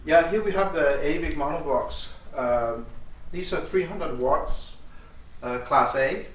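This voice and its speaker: A man who speaks as a gives a halting technical explanation of audio gear as its designer. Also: fairly high pitch. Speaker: loudspeaker designer presenting gear at an audio show. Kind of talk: technical product explanation in conversation. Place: indoor demo room at an audio show. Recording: echoey room, distant mic, faint noise.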